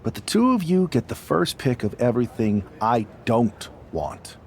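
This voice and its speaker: An actor playing a treacherous, power-hungry wrestling villain in a game cutscene, with menacing, theatrical taunts. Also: male voice, mid-range voice, big pitch swings, normal speed, a little mellow, slight background noise. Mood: cold, scheming. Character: treacherous, power-hungry young male wrestling villain leading a rebel faction.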